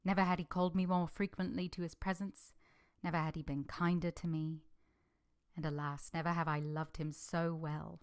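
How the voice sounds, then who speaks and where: A woman's voice: low-pitched, flat delivery, normal speed, clear, resonant tone, natural voice. A woman playing an earnest, humble but passionate young governess in formal literary language, in a vintage-style radio drama. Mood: wistful narrating.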